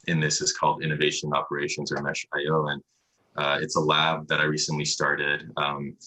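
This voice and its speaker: Very deep, husky-voiced man, bright edge. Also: low-quality recording. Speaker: emergency radiologist, interview guest. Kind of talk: casual interview answers. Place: virtual interview over video call. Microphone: video call microphone.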